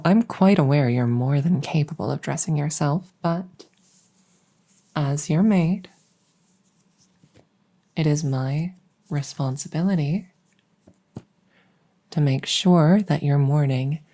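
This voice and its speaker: A devoted vampire maid, acted by a woman, speaks in a low, mellow murmur with a breathy softness. Her phrasing is formal and deferential, soothing and gently teasing, in the intimate style of an ASMR roleplay. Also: exaggerated voice. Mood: gently insistent. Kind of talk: ASMR roleplay, intimate personal-attention audio.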